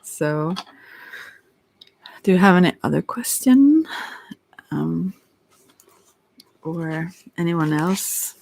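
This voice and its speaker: An ASMR tarot reader improvising a reading, hesitant and often pausing to search for words. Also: mid-range voice, animated, gravelly, darkish tone, low-quality recording. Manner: hesitant, searching for words, with long thoughtful pauses. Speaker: tarot reader hosting a live session.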